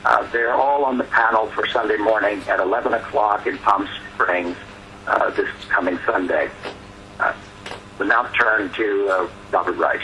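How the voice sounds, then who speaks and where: A man speaks as the moderator of a telephone conference call. His voice is bright and forceful as he delivers prepared remarks introducing a panel. There is faint noise on the call. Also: fairly low pitch, normal speed. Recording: noisy teleconference call audio.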